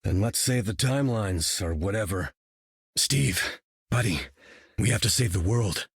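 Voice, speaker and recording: Gruff war veteran: low, very husky, guarded game character. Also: male voice, a little slow, no echo, studio quality, full character voice. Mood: wry.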